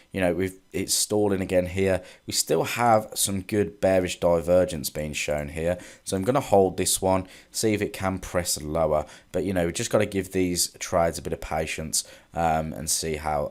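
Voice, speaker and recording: A man's voice: deep voice, moderate pace. A man with a cold and a congested voice, casually going over trading charts with frequent ums and restarts. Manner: relaxed, hesitant, many ums and restarts. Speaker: forex trading analyst. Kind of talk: casual market recap with charts.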